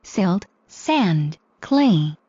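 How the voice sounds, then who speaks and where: A woman's voice: fairly low pitch, sing-song, drawn-out words, dark tone, husky, dry recording. A synthetic female text-to-speech voice reading text aloud in a mechanical way. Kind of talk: read-aloud of quiz text. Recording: dull lo-fi computer audio.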